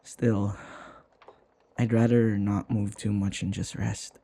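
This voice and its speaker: A man playing a flustered, submissive servant in an intimate audio roleplay, hesitant and apologetic, stammering with a breathy voice. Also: fairly low pitch, little pitch movement, takes it slowly, mellow, full character voice. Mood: gentle, worried. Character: flustered, submissive young male servant devoted to his vampire mistress.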